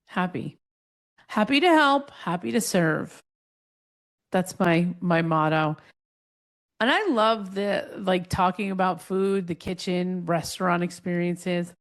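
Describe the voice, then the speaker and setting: A woman hosting a casual interview, telling stories quickly and with lively energy, with frequent "like" fillers. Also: on the low side. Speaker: podcast host.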